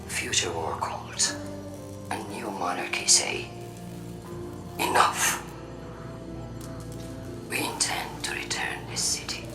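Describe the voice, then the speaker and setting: Casting: cold female android agitator for a game, low breathy voice, slow swooping oratory, music underneath. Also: full character voice. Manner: measured, accusatory oratory. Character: imperious female android faction leader, cold rabble-rouser. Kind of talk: dramatic political address in a video game. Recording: echoing address with music underneath.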